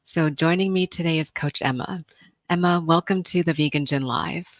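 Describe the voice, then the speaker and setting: A woman's voice: deep voice, normal speed, no room sound. A woman hosting a live stream podcast, welcoming listeners and introducing her guest in an upbeat way. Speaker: podcast host.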